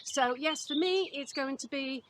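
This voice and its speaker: A woman's voice: high voice, measured pace, background noise. A woman in her fifties from Wales, co-hosting a running channel and chatting casually to camera about her goals. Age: 50s.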